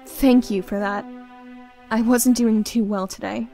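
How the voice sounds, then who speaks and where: A woman's voice: fairly high pitch, fairly even intonation, unhurried, vocal fry, dark tone, faint music behind. A woman voicing a young regal ruler in a visual novel, weary and unsure of herself. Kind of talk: acted visual novel dialogue.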